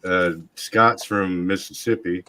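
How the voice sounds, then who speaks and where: A man's voice: low voice, fairly even intonation, slow, bright, forceful tone, no room sound. Casual joking man, dry close sound. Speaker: live stream host. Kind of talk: casual chat. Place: indoors, online live stream.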